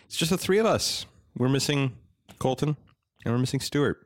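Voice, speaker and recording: A man's voice: medium pitch, animated, moderate pace, dry recording, clean studio sound. A young man hosting a casual podcast with a playful, joking manner. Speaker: podcast host. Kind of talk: casual chat.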